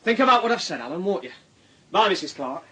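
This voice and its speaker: A sardonic detective in a radio drama. His husky voice sits high and swings widely as he needles and taunts, leading his suspect along. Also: very animated, fast, sharp tone, full character voice. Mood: pressing, menacing. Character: insinuating police detective, needling a young suspect.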